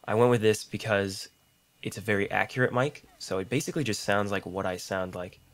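Deep-voiced young man rambling over gameplay. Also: fairly quick. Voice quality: deep voice, mouth clicks. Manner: rambling, self-deprecating, chatty asides. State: slightly rough throat. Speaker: gaming YouTuber. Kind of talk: casual gameplay commentary. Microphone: large condenser studio mic.

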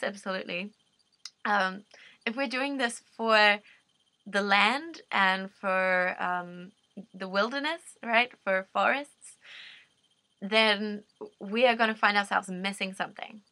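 A woman's voice: medium pitch, sharp tone, no room sound. A young woman with a New Zealand accent, a permaculture designer giving an unscripted, earnest talk to her laptop camera in a dim room, often restarting as she searches for words. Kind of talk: unscripted explanatory monologue. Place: dim indoor room, seated. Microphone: laptop webcam at desk.

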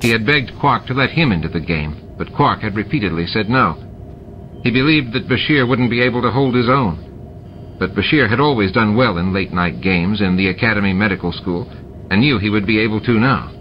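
A man's voice: lowish voice, fairly quick, a little hiss or hum. Man reading a novel, lo-fi. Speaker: amateur audiobook reader. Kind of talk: reading a novel aloud with character voices. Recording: dull lo-fi recording with music.

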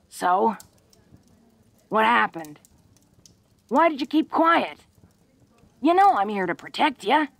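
A woman's voice: medium pitch, gravelly, a bit bright, no echo, exaggerated voice. A woman playing a tough, protective, secretly awkward young orc woman in an intimate audio roleplay, with rustic slangy speech and a bashful stammer. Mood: protective, gruff.

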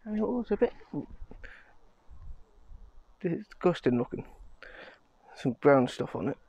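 A man in his thirties talking to a handheld phone camera outdoors in a pine forest, in a slow, muttering casual vlog and swearing under his breath. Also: a little high, big pitch swings, gravelly, darkish tone, breathy edge, no room sound. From Scotland. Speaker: vlogger.

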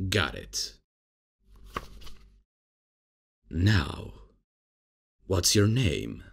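A man playing a cocky, casual, unprofessional substitute professor in an ASMR comedy roleplay, with a husky, gravelly voice and a hesitant, improvising way of talking. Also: a bass voice, heavily acted. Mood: puzzled, bumbling. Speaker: roleplay performer.

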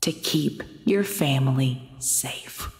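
A woman acting an imperious supernatural mentor with a low voice. She speaks in a deliberate, theatrical way, commanding and taunting like someone used to being obeyed. Faint music plays behind this audio drama. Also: breathy edge, exaggerated voice. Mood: earnest, instructive. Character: commanding, taunting female supernatural mentor, menacing then caring. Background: soft background music.

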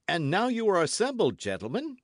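High bold young swordsman, proud and gallant as a reader puts him on. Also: wildly swooping pitch, a little fast, dry recording, studio quality, heavily acted. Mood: defiant. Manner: ringing, impassioned delivery.

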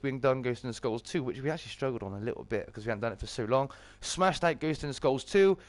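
A British man hosts a casual livestream in a mid-range voice with a slight morning croak. He talks to his viewers like mates, with chummy greetings and informal, off-the-cuff chat. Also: medium pitch, dry recording. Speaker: live streamer and host.

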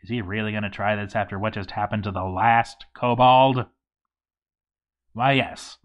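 A man reading a game story aloud as dramatic narration, with theatrical emphasis and deliberate pauses. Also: on the low side, steady tone.

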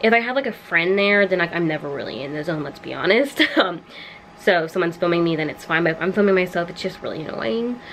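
A young woman chatting casually to camera in a small dorm room, animated and quick with asides. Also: lowish voice, a little hiss or hum, low-quality recording. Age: young adult. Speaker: vlogger. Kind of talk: casual vlog chat to camera. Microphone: handheld camera close up.

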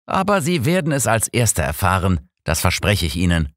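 A man voicing an old gentleman detective, formal, brisk and commanding, in an audiobook. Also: low voice, sing-song, dry recording, studio quality. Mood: playful. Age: older.

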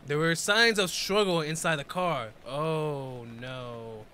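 A man's voice: fairly high pitch, slow pace, bright, forceful tone, a little hiss or hum. A man in his twenties reacting casually to a video, reading text aloud and commenting in informal, slangy speech.

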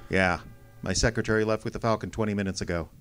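Man acting a low-voiced hard-boiled detective. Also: steady tone, quick pace, a bit bright, soft background music, close to an everyday voice. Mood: cool, wry. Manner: clipped, hard-boiled, sardonic. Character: tough, wry male private detective, unflappable. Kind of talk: old-time detective radio drama acting.

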